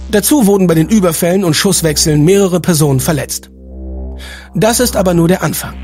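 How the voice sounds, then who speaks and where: A man's voice: high-pitched, a little mellow. A man hosting a podcast, reading scripted narration.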